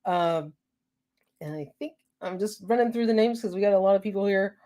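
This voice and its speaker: A woman's voice: mid-range voice, fairly quick, mellow. A woman hosting a live show in casual chat, a little congested from a cold, laughing easily as she reads out viewer names.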